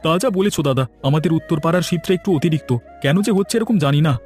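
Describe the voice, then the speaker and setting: A man's voice: on the high side, animated, fast talker, darkish tone, soft background music, close to an everyday voice. A man playing a friendly, proud village palm-sap tapper in a cartoon, with a folksy manner. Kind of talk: cartoon dialogue.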